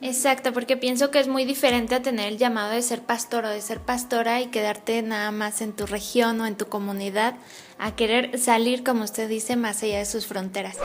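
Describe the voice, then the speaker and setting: Young Mexican woman hosting an interview. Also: a little high. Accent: Mexican Spanish. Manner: friendly, polite, asks questions. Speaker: interviewer and channel host. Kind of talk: casual interview.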